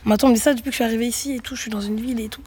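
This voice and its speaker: A young woman who grew up in Greece, a musician guest answering interview questions thoughtfully, with many pauses and restarts. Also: a little high, steady tone, a little mellow, slightly rough. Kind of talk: reflective radio interview answers.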